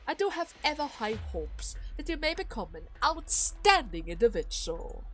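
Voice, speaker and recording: A woman voicing a manipulative older male duke in a dramatic game story, speaking in a measured, formal and condescending way. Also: high voice, sing-song, fairly bright tone, soft background music. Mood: calmly condescending. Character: scheming older male duke, coolly manipulative adoptive father.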